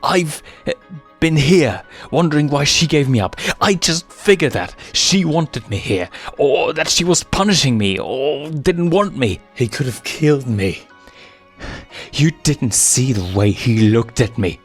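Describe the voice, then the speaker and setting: A man's voice: mid-range voice, animated, measured pace, slightly rough, faint music behind, voice pushed up. A man voicing an earnest, conflicted young male lion with a stammering, questioning, pleading manner in a story reading. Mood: angry, hurt.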